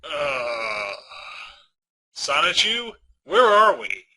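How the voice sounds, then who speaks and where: A man voicing a gentle young female hedgehog in an audiobook, with an affectionate, sweet manner. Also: on the high side, big pitch swings, drawn-out words, full character voice. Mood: dazed.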